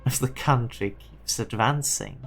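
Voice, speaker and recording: A man playing an ambitious, proud self-made businessman, deliberate and speechifying, in a fan-dubbed game drama. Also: mid-range voice, faint music behind, close to an everyday voice. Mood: proud, declarative. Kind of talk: scripted fan-dub game drama monologue.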